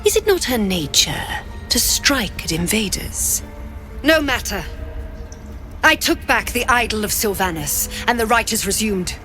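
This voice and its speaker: An actress playing a cold, zealous and menacing druid leader in a fantasy video game, with grave, deliberate pronouncements. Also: female voice, fairly high pitch, lively intonation, pushed and bright, faint music behind. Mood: imperious, sly.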